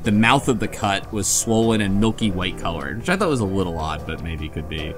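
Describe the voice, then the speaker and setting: A man's voice: low voice, animated, brisk, over music. A man hosting a casual book club chat, recapping a story and acting out imagined dialogue as he goes. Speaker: podcast host.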